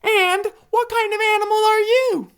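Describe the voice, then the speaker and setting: Narrator doing a squeaky boastful hippo. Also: steady tone, moderate pace, a bit bright. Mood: defiant.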